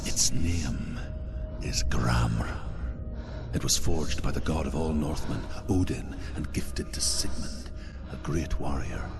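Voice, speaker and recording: A man voicing a solemn, menacing dark entity, telling portentous lore in a video game. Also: a bass voice, creaky, music in the background, full character voice. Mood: ominous, solemn. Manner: slow, solemn, ominous.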